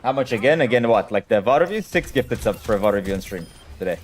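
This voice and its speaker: A man's voice: lowish voice, animated, fast talker. A man, a native Hebrew speaker, chatting casually as a game coach on a live stream and answering questions in a direct way.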